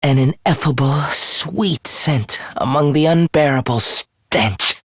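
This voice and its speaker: A man's voice: on the high side, takes it slowly, fairly bright tone, breathy edge, no echo, studio quality, exaggerated voice. An actor voicing an obsessive young man in a video game, devoted to his comrade as to a god, with theatrical, intense, florid delivery. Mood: hushed fascination. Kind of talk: English video game voice acting, dramatic monologue.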